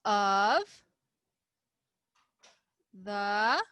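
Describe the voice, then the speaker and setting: A woman's voice: mid-range voice, lively intonation, drawn-out words, sharp tone, no echo. A woman teacher reading aloud and leading a writing lesson for young children, explaining simply and asking them questions. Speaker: primary school teacher.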